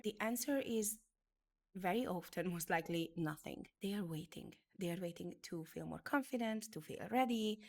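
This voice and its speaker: A woman's voice: fairly low pitch, a little mellow. A middle-aged woman from Hungary whose first language is Hungarian, giving an earnest, emphatic motivational talk as a beauty business educator. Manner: earnest, emphatic, repeats phrases for effect.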